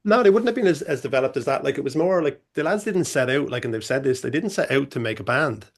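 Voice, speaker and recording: Gravelly guy, music manager on a panel, lots of "like" and restarts. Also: mid-range voice, lively intonation, fast talker. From Ireland. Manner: rambling, many restarts and fillers. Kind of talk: panel discussion.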